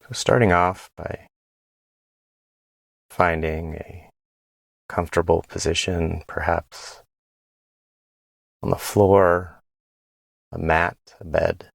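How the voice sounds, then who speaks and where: A man leading a guided body scan meditation in a gentle, unhurried way, pausing between phrases. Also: low voice, flat delivery, creaky, dry recording.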